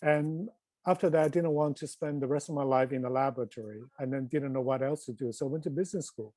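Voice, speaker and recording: A man from China with a Chinese accent, a filmmaker reflecting casually and earnestly on a panel, in a halting way. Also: medium pitch, darkish tone, no room sound.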